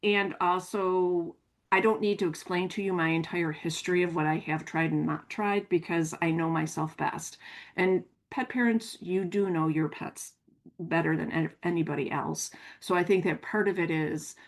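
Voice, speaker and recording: Lower-pitched American woman, podcast co-host. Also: fairly even intonation, moderate pace. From American Midwest. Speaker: podcast co-host, pet behavior consultant. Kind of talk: conversational podcast talk.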